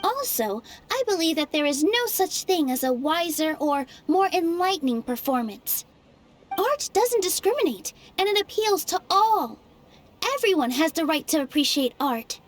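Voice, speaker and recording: Earnest young dancer girl arguing for her theater, high sweet voice. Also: sing-song, moderate pace. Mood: passionate. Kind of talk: game voice acting.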